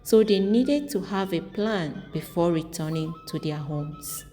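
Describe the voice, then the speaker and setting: Mellow woman storyteller in long reverb. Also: mid-range voice, very animated, unhurried. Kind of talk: scripted folktale narration. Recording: long reverb, music underneath. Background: music.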